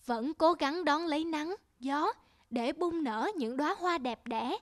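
A woman voicing a wise, patient old male monk master in a cartoon, with a measured, instructive manner. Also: high voice. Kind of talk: cartoon voice acting. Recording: music under the voice.

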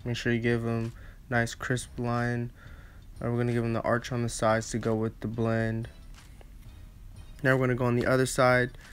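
A man's voice: mid-range voice, monotone, moderate pace, pauses often. A man narrating a casual step-by-step barber tutorial, with hesitant, repetitive phrasing.

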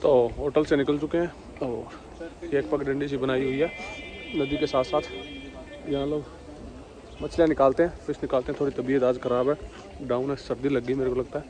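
A man's voice: medium pitch, fairly even intonation, moderate pace, long pauses, a crowd in the background. A young man casually narrating a travel vlog straight to his viewers while walking through a busy outdoor market, recorded on a camera-mounted mic. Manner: chatty, talks straight to viewers. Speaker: travel vlogger. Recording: busy outdoor street noise.

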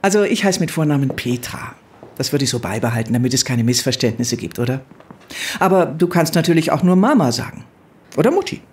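A woman's voice: very deep voice, sing-song, a little fast, a little mellow. An actress playing a composed, breezy middle-aged woman acting as a stand-in mother in an audio drama. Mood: breezy, familiar. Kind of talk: naturalistic audio drama dialogue.

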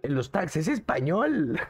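A man's voice: a little high, big pitch swings, slow pace, slightly rough, faint music behind. A young man with a Mexican accent, streaming a game and chatting in a casual, jokey way while answering his chat. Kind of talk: casual gaming commentary.

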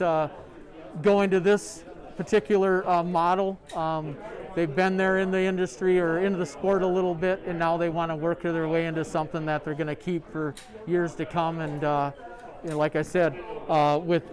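High-voiced man, halting product demo. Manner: halting, many ums and uhs. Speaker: sales rep. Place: large sporting goods store, indoors.

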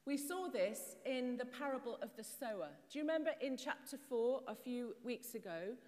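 A woman's voice: a little high, animated, a little mellow. Middle-aged woman preaching in an echoing church. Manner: measured, explanatory preaching with rhetorical questions. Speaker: priest. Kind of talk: sermon. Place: stone church, at a pulpit. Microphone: far camera. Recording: long church reverb.

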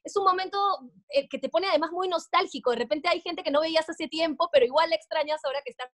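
A woman's voice: very high-pitched, fast talker, a bit bright. A young woman hosting a casual interview over a video call from home, effusive and emphatic. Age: young adult. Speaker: radio show host, interviewer. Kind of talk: casual, enthusiastic interview chat. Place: room at home, indoors.

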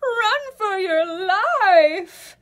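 A woman's voice: very high-pitched, expressive, extremely slow, pitched above the performer's own voice, full character voice. A woman voicing small forest animals in a storybook, exclaiming urgently and shrilly to a friend. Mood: alarmed. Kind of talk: storybook read-aloud.

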